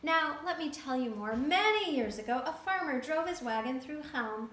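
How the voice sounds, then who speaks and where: A woman reading a folktale aloud to a child, lively and stopping to ask the listener questions. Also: high-pitched, normal speed, echoey room, slight background noise. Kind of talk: reading a picture book to a child.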